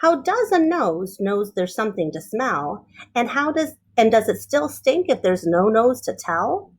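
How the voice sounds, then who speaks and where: An inquisitive little storybook girl, acted out by a woman reader, eager and full of questions. The voice is high and a bit husky, with lively swings in pitch as each question tumbles out. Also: heavily acted. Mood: puzzled. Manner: eager, rapid-fire questioning. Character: curious little girl, relentlessly questioning everything.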